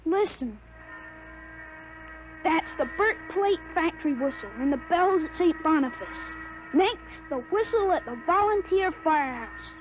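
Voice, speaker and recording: A woman playing a thirteen-year-old boy with a grown man's mind in a 1950s radio drama, speaking calmly and matter-of-factly on a dull, lo-fi old recording. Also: very high voice, measured pace, over music. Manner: calm, matter-of-fact, self-assured.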